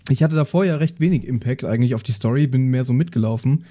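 A man's voice: mid-range voice, quick pace, mellow. A man chatting casually as a regular member of a podcast group. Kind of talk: casual chat.

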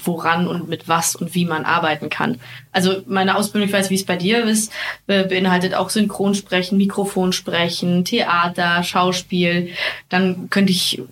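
A young woman hosting a podcast, chatting in a curious, colloquial and lively way. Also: fairly low pitch, a little hiss or hum. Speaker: podcast host, actress. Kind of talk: casual podcast chat.

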